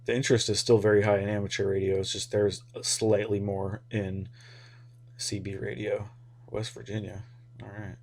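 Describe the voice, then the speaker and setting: Low, even-toned American man chatting casually. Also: moderate pace, gravelly. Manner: informal, hesitant, thinking aloud. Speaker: hobby radio video host. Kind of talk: casual, rambling explainer talk.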